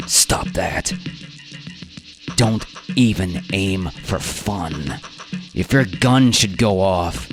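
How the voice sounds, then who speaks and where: A man's voice: low voice, expressive, extremely slow, pauses often, exaggerated voice. A man voicing a tense, commanding safari guide in a radio drama, giving stern, clipped orders. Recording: live performance with music bed.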